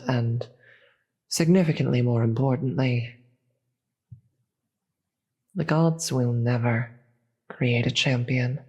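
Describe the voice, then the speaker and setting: A performer plays a regal demon queen in a fantasy audio drama. The voice is husky and breathy, with slow, deliberate, formal speech. The queen sounds sardonic and tired of it all. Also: mid-range voice, a little mellow. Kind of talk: scripted audio roleplay.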